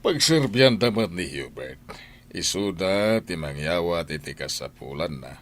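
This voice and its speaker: A man acting a calm older father and head of a household in an Ilocano radio drama. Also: low-pitched, a little slow, exaggerated voice. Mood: calm, reasoning.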